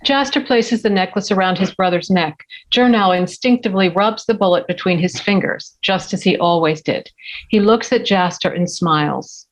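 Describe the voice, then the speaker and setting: A woman's voice: medium pitch, moderate pace. A woman reading scripted screenplay stage directions as narrator at a table read. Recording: dull, lo-fi remote audio.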